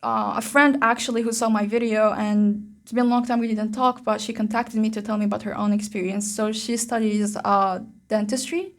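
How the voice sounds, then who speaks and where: A young woman from Algeria with an Algerian accent in English, talking through her views in a casual vlog, pausing often with "um" and "uh". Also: mid-range voice, steady tone. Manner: thoughtful, pauses often with "um" and "uh". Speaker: channel host.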